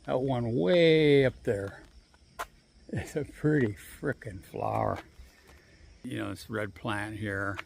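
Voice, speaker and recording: A man vlogging casually while walking outdoors, rambling and swearing freely, with long pauses. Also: mid-range voice, gravelly, slight background noise. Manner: rambling, profane, drawn-out pauses. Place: outdoors on a walk. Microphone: handheld phone.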